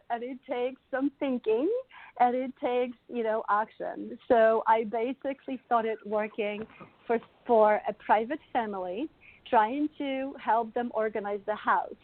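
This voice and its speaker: A woman's voice: a little high, a little slow, dry recording. A middle-aged woman from Poland with a Polish accent, a wellness coach telling her life story in an interview, laughing often as she talks. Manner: warm, laughs often while telling stories. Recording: dry, tinny call audio.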